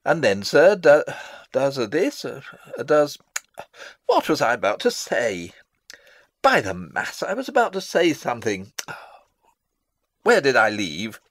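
A man's voice: medium pitch, huge pitch swings, a little slow, pauses often, fairly bright tone, dry recording, studio quality, full character voice. Pompous, rambling old counsellor played by a man. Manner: rambling, pompous, theatrical, losing his thread. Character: fussy, long-winded old father and royal counsellor. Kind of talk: dramatic reading of a Shakespeare play.

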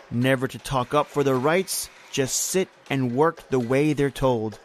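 A man plays a grim overseer in an old-time radio horror play. He speaks at a middling pitch in emphatic, scornful, declamatory phrases. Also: natural voice. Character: grim, taunting factory overseer named Williams.

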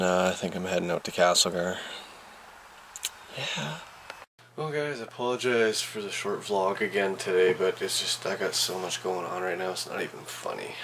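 A young Canadian man with a low voice talks casually to his vlog audience. His delivery is laid-back and slangy, rambling along loosely. The sound comes from a handheld camera mic with clear background noise. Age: 20s. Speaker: vlogger. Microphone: camera's built-in mic, handheld or at arm's length. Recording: noisy handheld camera audio, vehicle and ambient noise.

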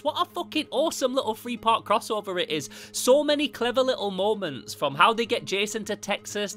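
A young man presents a scripted comic book review with casual enthusiasm. His voice sits slightly high for a man and is bright and punchy. Soft music plays behind him. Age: young adult. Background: background music.

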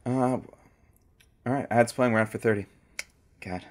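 Actor as deep-voiced laconic radio producer man. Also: normal speed, close to an everyday voice. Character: laid-back radio producer named Frank.

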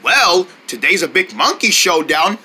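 A man's voice: sits high, big pitch swings, a little slow, sharp tone, husky, exaggerated voice. A man voicing a pushy, teasing friend who goads and persuades in an amateur cartoon skit. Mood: coaxing. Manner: goading, persuasive.

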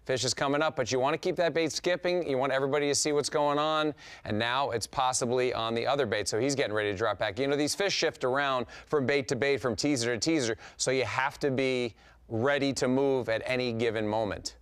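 A man's voice: medium pitch, a little fast, clear, resonant tone. A middle-aged man co-hosting a fishing show from a TV studio, casually explaining fishing technique in detail over the footage. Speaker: fishing show co-host, analyst. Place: TV studio set.

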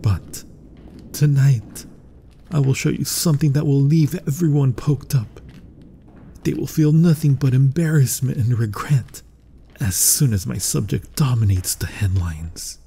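A man playing a proud, obsessive mad scientist in a gothic horror ASMR roleplay, husky and breathy, speaking formally and theatrically and often dropping toward a whisper. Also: medium pitch, a little slow, mellow, a little hiss or hum. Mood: proud, simmering.

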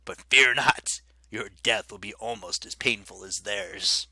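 Man doing a low, silky werewolf king. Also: a little slow, gravelly, husky, no echo, exaggerated voice. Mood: mocking. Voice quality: low, quiet, silky menace. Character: menacing ancient werewolf king, mocking.

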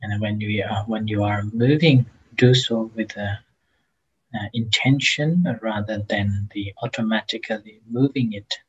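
A man leading a guided meditation as a teacher, speaking gently and haltingly with long pauses between phrases, in a husky, breathy voice. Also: lowish voice, fairly even intonation, lo-fi sound.

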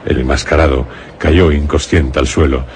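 A man narrating a radio horror drama as a grave storyteller, solemn and deliberate, with a Castilian accent. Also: a bass voice, fairly even intonation. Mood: grave suspense. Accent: Castilian Spanish. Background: music.

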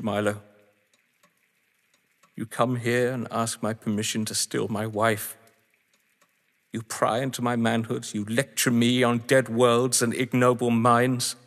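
A man acting a bitter, possessive husband in an audio drama, with deliberate, theatrical, articulate delivery. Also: mid-range voice, fairly bright tone. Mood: bitter menace. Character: bitter, possessive male crime-story lover, pleading then menacing. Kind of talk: dramatic stage-play acting. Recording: long echoing reverb.

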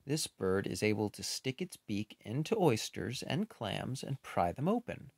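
Unhurried, lively man reading aloud. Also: lowish voice, creaky, husky, no echo. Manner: expressive, unhurried, with playful asides. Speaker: picture book reader. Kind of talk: children's book read-aloud with casual asides.

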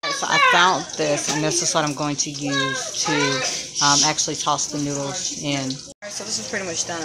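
Woman, low pitch, casual kitchen chat, loud background noise, phone recording. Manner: relaxed, chatty, frequent ums while cooking. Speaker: home cooking vlogger. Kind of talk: casual cook-along narration. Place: home kitchen at the stove.

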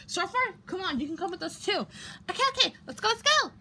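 A woman voicing an excitable figure raising the alarm and rallying others in an amateur toy skit, calling urgently and repetitively. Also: very high voice, fast, voice pushed up, full character voice. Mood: urgent, excited. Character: excitable alarm-raiser rallying a search party. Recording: noisy homemade recording.

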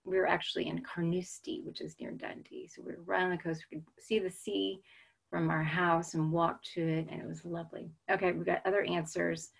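A woman's voice: lowish voice, flat delivery, brisk, creaky, breathy edge, poor audio. Chatty American woman on a webcam. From United States. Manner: chatty, reads out audience answers. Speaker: webinar presenter. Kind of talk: casual chat while waiting to start. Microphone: video call webcam.

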